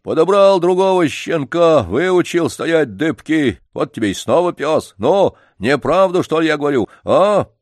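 A man reading an audiobook, voicing a loud, pushy male yard-keeper who keeps coaxing and pleading. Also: on the high side, very animated, a little slow, hardly any pauses, no room sound, studio quality, pitched above the performer's own voice. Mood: insistent. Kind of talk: audiobook character voice.

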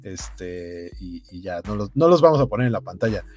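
A man's voice: low voice, lively intonation, moderate pace, a little mellow. A man with a Mexican accent chatting informally and animatedly as co-host of a gaming news show. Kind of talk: casual chat, reading viewer comments. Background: music behind the voice.

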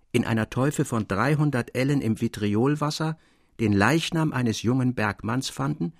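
Male narrator, literary reading, measured, solemn, medium pitch, creaky edge. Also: no room sound, studio quality.

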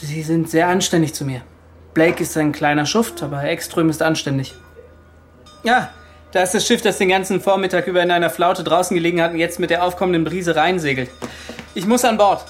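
An actor playing a gentlemanly young seaman tormented by shame, agitated and confessional, in a German radio play. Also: a little high, fairly quick, faint music behind, natural voice.

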